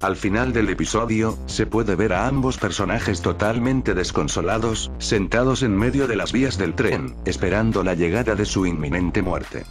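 A man's voice: on the low side, moderate pace, few pauses, music in the background. A man reading scripted narration as the narrator of a countdown video. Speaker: narrator of a cartoon top-list video.

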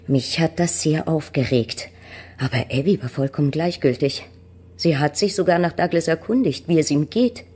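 Low, husky, wary mother. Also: mellow. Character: reserved, wary middle-aged mother. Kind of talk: German radio play acting.

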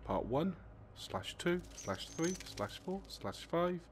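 A man's voice: fairly high pitch, very animated, slow, a little hiss or hum. A man from England with an English accent, giving a casual, self-deprecating intro and chatting with other players. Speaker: video maker and player.

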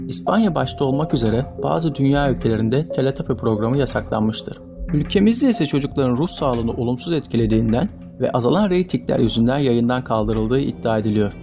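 A man reads scripted narration in speech, in a measured, formal way. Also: mid-range voice. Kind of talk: scripted narration of trivia video. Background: background music.

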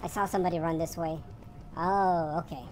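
Low-voiced woman streaming a game. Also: fairly even intonation, a little fast, a little mellow. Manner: upbeat, exclaims often. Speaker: streamer hosting a game with fans. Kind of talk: casual gaming chat.

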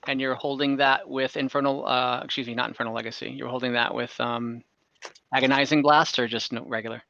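A man running a tabletop game as dungeon master over a video call, relaxed and hesitant, thinking aloud with many fillers. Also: mid-range voice, a little fast, creaky, dry recording. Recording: dull lo-fi video call audio.

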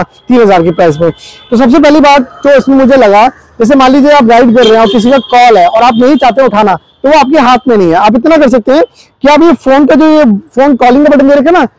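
A man's voice: very high-pitched, darkish tone, low-quality recording. A man, explaining a product to camera in a vlog review, mixing in English technical words. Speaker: motorcycle gear vlogger. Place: outdoors on a busy market street.

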